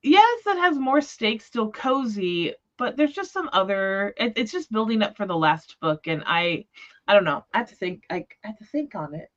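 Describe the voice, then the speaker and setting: A woman's voice: medium pitch, lively intonation, normal speed, dull audio. A woman hosting a book discussion livestream in casual chat, talking in an informal, rambling, tentative way. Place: remote livestream call.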